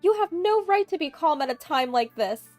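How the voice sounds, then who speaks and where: A woman's voice: high voice, animated, normal speed, soft background music, voice pushed up. A woman voicing a furious, sobbing older mother-in-law who shrieks accusations down the phone. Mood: enraged.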